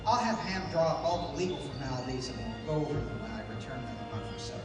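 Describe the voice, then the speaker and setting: An actor playing a calm, commanding heroic adventurer in a 1930s-style radio serial. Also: male voice, mid-range voice, fast, natural voice. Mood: grave, sincere. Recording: distant echoey hall, music underneath.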